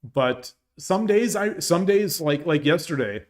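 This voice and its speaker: A man chatting casually on a shogi live stream, reading viewers' messages aloud, his voice getting dry and hoarse from long talking. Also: fairly high pitch, animated, a little slow. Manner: rambling, many restarts, reads chat aloud.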